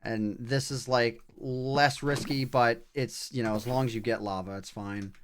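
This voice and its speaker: A man's voice: mid-range voice, fairly even intonation, measured pace, a bit bright. A man livestreaming a video game with casual commentary, thinking aloud in short, broken-up remarks as he plays. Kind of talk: casual live gameplay commentary. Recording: clear background noise, clicking.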